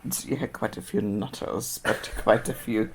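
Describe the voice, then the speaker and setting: A woman's voice: a bass voice, fairly even intonation, moderate pace, gravelly, slight background noise. Older Austrian woman reminiscing. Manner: hesitant, many fillers and restarts. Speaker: interviewee. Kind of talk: reminiscing interview.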